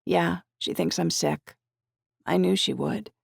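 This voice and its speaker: Audiobook heroine voiced by a narrator: earnest young woman, candid and conversational, pitched up, dry sound. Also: slow, gravelly, husky, no echo, heavily acted. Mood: wry, confiding.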